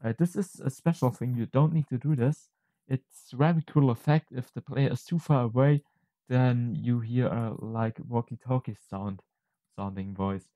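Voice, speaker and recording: A man presenting an unscripted software tutorial, explaining step by step in a halting, hesitant way. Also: mid-range voice, dry recording, poor audio.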